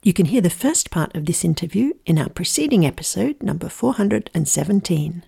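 A woman's voice: on the low side, animated, a little fast, vocal fry, mellow, husky. A woman reading a scripted podcast introduction as the announcer.

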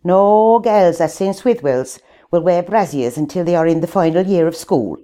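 A stern old nun with a lilt lays down the law to a room of schoolgirls, as an older woman puts her on. The voice sits low and mellow, with a blunt, sermonizing bite to every rule. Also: animated, moderate pace, close to an everyday voice.

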